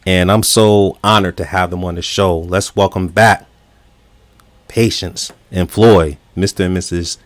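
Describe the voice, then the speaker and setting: A man's voice: sits low, steady tone, a little slow, no room sound. A man hosting a relationship talk show, earnest and encouraging, using filler words as he talks.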